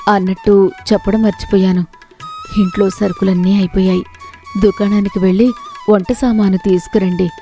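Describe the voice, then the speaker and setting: Poor farmer's wife played by a female narrator for an animated moral tale: homely, plaintive, a touch of creak. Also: medium pitch, moderate pace, soft background music. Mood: worried, hopeful. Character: poor farmer's wife, worried yet gently hopeful.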